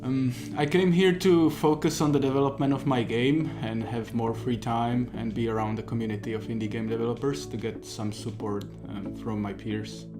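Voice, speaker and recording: A man's voice: mid-range voice, fairly even intonation, normal speed, hardly any pauses, over music. A man from the Czech Republic, a game developer, answering interview questions in a thoughtful, earnest way.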